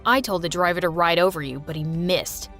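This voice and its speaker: A woman's voice: fairly low pitch, fairly quick, fairly bright tone, faint music behind, pitched below the performer's own voice. A woman voicing a smug, rich teenage boy bully with a sneering, mocking manner.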